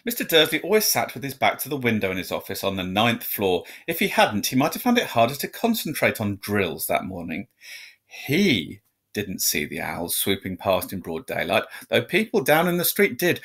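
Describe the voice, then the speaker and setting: Guy reading a kids book out loud like a storyteller, mid-pitched voice, measured with some dramatic emphasis. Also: sing-song. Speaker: storyteller reading aloud to students. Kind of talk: expressive children's storybook reading.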